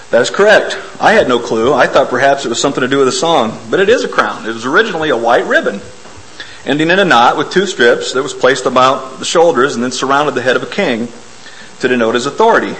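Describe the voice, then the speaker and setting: A man preaching an informal, self-deprecating sermon in a church, hoarse from a bug and often clearing his throat. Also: medium pitch, animated, fairly quick, a bit bright, rough recording. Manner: folksy, self-deprecating, explains hymn words. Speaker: lay preacher.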